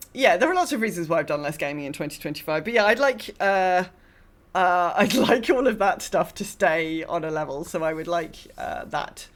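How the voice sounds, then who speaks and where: A woman in her mid-forties co-hosting a podcast, chatting casually in a rambling, self-deprecating and expressive way. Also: mid-range voice. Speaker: podcast co-host. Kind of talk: casual chat.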